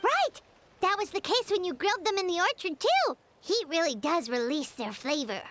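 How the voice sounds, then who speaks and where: Very high-pitched, excitable little fairy. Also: female voice, normal speed, a little hiss or hum, exaggerated voice. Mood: eager. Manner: chirpy, exclamatory, earnest. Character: tiny excitable childlike female fairy companion. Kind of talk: video game character acting. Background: game music.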